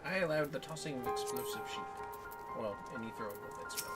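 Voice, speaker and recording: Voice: mid-range voice, a little fast. A co-streamer chatting casually during a game livestream. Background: music.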